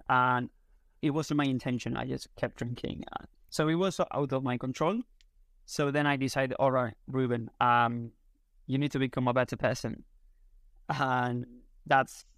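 A man's voice: mid-range voice, fairly bright tone, no echo. A man from Spain with a Spanish accent, a native Spanish speaker talking casually in English as an interview guest, often searching for his words. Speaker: interview guest, content creator. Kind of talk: casual conversation.

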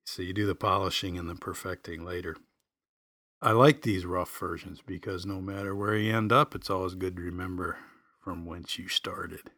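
A man's voice: low-pitched, steady tone, moderate pace, pushed and bright, dry recording, studio quality. A middle-aged man telling a personal story in a reflective, folksy, plain-spoken way. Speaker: podcast host and singer-songwriter. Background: acoustic guitar music.

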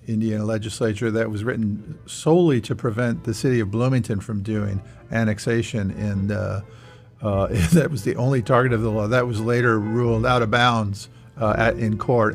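A man with a mid-pitched voice hosts a conversational radio interview. He speaks as the presenter, with music heard behind his voice. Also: vocal fry. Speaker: radio show host.

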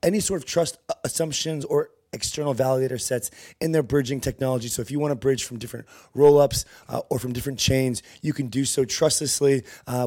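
A man's voice: mid-range voice, mellow. A man hosting an interview in a loose, rambling way, with casual slang and frequent restarts. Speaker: host. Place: conference venue.

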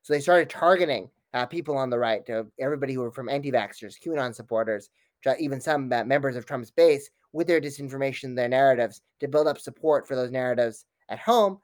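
Fast-talking male academic commentator. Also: mid-range voice, no room sound. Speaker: academic expert guest. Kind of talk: fast analytical interview commentary.

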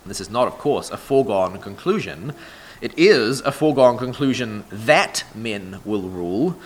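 Hoarse man preaching a sermon. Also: on the low side, lively intonation, slight background noise, rough recording. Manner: measured, deliberate preaching cadence. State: hoarse from a sore throat. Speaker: pastor. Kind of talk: sermon with scripture reading.

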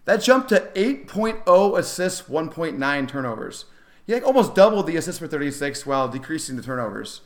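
Higher-pitched man in casual sports chat. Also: very animated, a little fast. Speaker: sports podcast co-host.

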